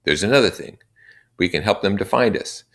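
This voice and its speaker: A man's voice: low voice, lively intonation, fairly quick, a bit bright, voice pushed up, exaggerated voice. A man voicing a confident, insistent boy leader who declaims to a group in a storybook reading. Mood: confident, rousing. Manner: emphatic, declaiming.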